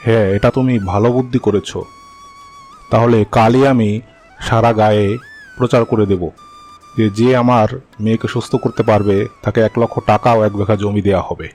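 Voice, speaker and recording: A man's voice: lowish voice, fairly even intonation, a little fast, a little mellow, soft background music, natural voice. A man playing a worried village headman and devoted father in a cartoon, solemn and lamenting. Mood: resolved.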